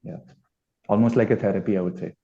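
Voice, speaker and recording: A man's voice: lowish voice, fairly even intonation, quick pace, mellow. A man with an Indian accent, a musician giving a casual, reflective interview. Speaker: band musician being interviewed. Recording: dull lo-fi sound.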